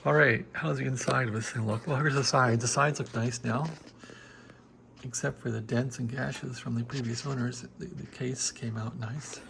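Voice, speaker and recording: A man's voice: medium pitch, steady tone, moderate pace, vocal fry, background noise. An American man giving a casual, unscripted show-and-tell as a hobbyist, talking in a rambling, folksy, colloquial way into a handheld phone. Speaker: radio hobbyist showing a restoration project.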